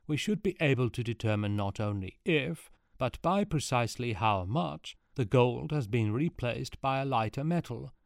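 A man's voice: medium pitch, no room sound, clean studio sound, voice pushed up. A man voicing an old male sage who speaks grandly and at length. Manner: solemn, drawn-out.

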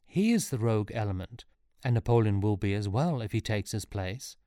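A man's voice: fairly low pitch, animated, moderate pace, warm tone, no room sound, clean studio sound, lightly acted. A man voicing an anxious young male hero in a story, urgent and insistent as he pleads and questions. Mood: incredulous questioning.

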